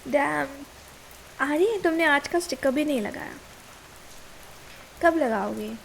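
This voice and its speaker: A woman's voice: high voice, expressive, slow pace, vocal fry, full character voice. A young woman with an Indian accent playing a caring but easily exasperated, nagging girlfriend in a casual ASMR roleplay, mixing two languages. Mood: gentle, caring. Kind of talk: ASMR girlfriend roleplay, Hindi mixed with English. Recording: hiss and rain sound behind.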